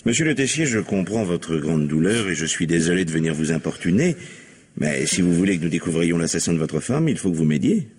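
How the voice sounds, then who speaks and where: A man playing a shrewd police commissioner in a French radio mystery in 1950s style, questioning briskly and insistently with pointed courtesy. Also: deep voice, animated, a little mellow. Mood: firm, courteous. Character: shrewd, probing middle-aged male police commissioner. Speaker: actor playing a detective.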